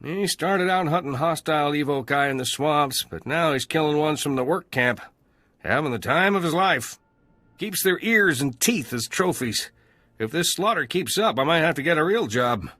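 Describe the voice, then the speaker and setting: Breezy cynical junk trader from a video game, bright highish male voice, chatty and wry. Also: lively intonation, moderate pace, dry recording. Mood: wry, scheming. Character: breezy, cynical male human salvage dealer. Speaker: salvage trader character.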